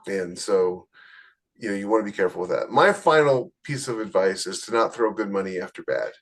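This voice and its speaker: A man giving casual, blunt advice as a podcast host, plain-spoken, with a slightly husky voice. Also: fairly low pitch, animated, a little fast. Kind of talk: casual advice chat about book publishing.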